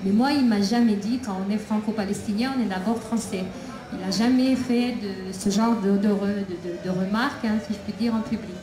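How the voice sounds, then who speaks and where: A woman speaking at a festival debate about her imprisoned son, talking haltingly with many pauses and restarts. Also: mid-range voice, steady tone. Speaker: prisoner's mother, invited speaker. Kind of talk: public talk at a debate. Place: outdoor festival debate tent. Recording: echoey PA with crowd ambience.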